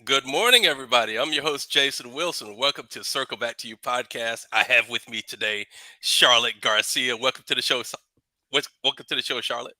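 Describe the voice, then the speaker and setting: A man hosting a podcast in casual interview chat, halting at times as he restarts his questions. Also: mid-range voice, bright, forceful tone, no echo. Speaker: podcast host.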